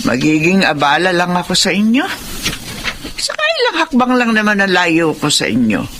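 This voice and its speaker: A woman's voice: lowish voice, huge pitch swings, normal speed, background noise. A woman playing an elderly, stubborn grandmother in a Filipino radio drama. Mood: gently stubborn. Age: older. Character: elderly grandmother, stubbornly attached to her old house.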